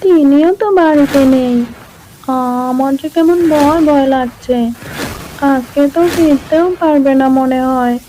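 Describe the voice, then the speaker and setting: A woman's voice: high voice, a little slow, mellow. A woman voicing a timid, anxious young housewife who protests indignantly in a horror cartoon. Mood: uneasy. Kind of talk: Bengali horror cartoon voice acting. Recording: noisy background ambience.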